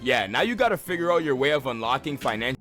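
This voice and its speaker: A man's voice: mid-range voice, very animated, quick pace, a bit bright, faint music behind. A man narrating a comedic video script in a slangy, sarcastic style.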